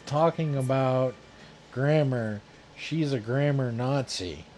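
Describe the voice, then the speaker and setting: A man's voice: mid-range voice, slow pace, a little mellow, faint music behind. A man chatting casually while playing a game, giving short, teasing replies. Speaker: streamer. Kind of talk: casual chat while gaming.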